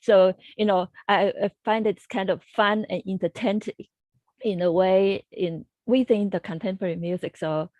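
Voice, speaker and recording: A woman's voice: medium pitch, steady tone, moderate pace, darkish tone, dry recording, dull audio. A woman composer on a video call panel, speaking hesitantly and repeating words.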